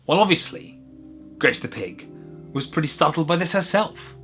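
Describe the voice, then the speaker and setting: A man's voice: a little high, very animated, moderate pace, fairly bright tone, soft background music. A man narrating a whimsical short story in a wry, conversational way with little asides.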